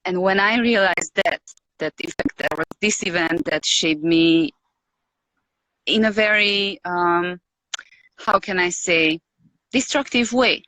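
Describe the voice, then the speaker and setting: A woman from Romania with a Hungarian accent, speaking as a guest in an interview, reflective and hesitant, pausing often to find her words. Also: on the low side, sharp tone, dry recording, poor audio. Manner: hesitant, searching for words with many pauses and fillers. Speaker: podcast guest.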